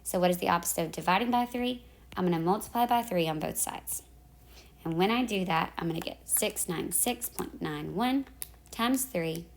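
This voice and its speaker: A hoarse woman, talking through calculator steps in a math lesson. Her low voice has a gravelly edge, and she stops to fix her own slips. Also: moderate pace, a little hiss or hum. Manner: talks through calculator steps, self-correcting. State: losing her voice. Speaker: math teacher. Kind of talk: step-by-step math tutorial. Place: at home in the evening.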